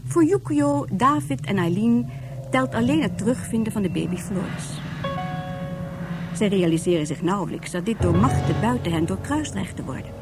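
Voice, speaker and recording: A woman's voice: medium pitch, lively intonation, moderate pace. A woman giving scripted narration for a science-fiction radio serial. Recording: faint music behind.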